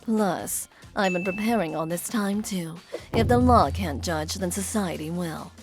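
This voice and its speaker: A woman playing a composed, coolly vengeful young older sister in a manga dub, speaking deliberately and resolutely. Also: fairly low pitch, music in the background. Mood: determined. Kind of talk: anime-style manga dub acting.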